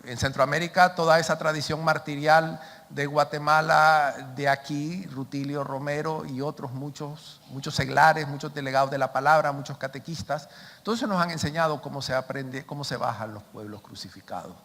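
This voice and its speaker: A man's voice: a little high, fairly even intonation, normal speed, fairly bright tone. An older man, an invited speaker answering audience questions in an emphatic, explanatory way. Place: large conference hall. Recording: echoey hall, background noise.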